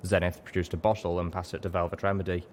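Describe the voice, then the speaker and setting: A man with a low voice narrates a story. His delivery is dramatic and scripted, in the manner of an audiobook. The recording has clear noise behind him. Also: level tone, quick pace, a little mellow.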